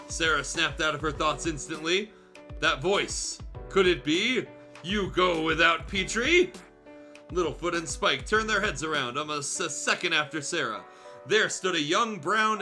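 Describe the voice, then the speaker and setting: A man's voice: fairly high pitch, bright, forceful tone. A man reading fan fiction aloud as a streamer, narrating dramatically with casual delivery and small self-corrections. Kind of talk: animated story narration with casual asides. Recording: music playing behind the voice. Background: music.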